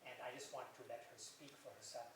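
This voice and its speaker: A man's voice: mid-range voice, steady tone, fast talker, fairly bright tone. A man speaking to a festival audience, chatty and digressive as he introduces recorded clips. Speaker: festival speaker presenting an interview. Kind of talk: informal talk to an audience. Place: event hall. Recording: echoey hall, voice from a distance.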